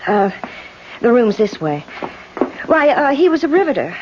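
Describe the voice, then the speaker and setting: On a dull, hissy old radio recording, a boarding-house landlady speaks in a lively, varied voice. She is guarded and prim, faltering at first and then putting her foot down in 1970s radio-drama style. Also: a little high, moderate pace. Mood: polite, flustered. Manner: hesitant starts, then prim and firm. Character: guarded, respectable widowed boarding-house landlady, flustered but firm.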